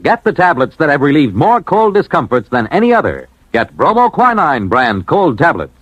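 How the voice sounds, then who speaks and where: A man's voice: on the high side, sing-song, moderate pace, fairly bright tone, a little hiss or hum, poor audio. An American man working as a network radio announcer, reading scripted copy in an emphatic, formal 1950s style. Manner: emphatic, formal, polished delivery. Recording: vintage radio broadcast.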